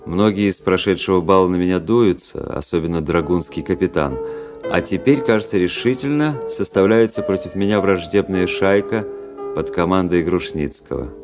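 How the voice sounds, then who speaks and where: A proud young aristocratic officer in a radio play. His voice is low, and he speaks with composed, ironic self-assurance. Faint music sits behind him. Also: moderate pace. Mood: scornful, brooding. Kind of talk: Russian radio drama acting.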